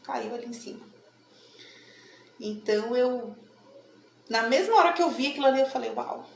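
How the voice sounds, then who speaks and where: A woman's voice: on the high side, expressive, moderate pace, gravelly. A middle-aged woman from Salvador with a Bahian accent, talking casually to camera in a room at home about her card readings, often adding tag questions. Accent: Bahian Brazilian Portuguese. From Salvador, Bahia, Brazil. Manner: chatty, frequent tag questions. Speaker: tarot reader and channel host. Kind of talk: casual explanatory talk to camera. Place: room at home with a plant and altar. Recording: echoey room, faint noise.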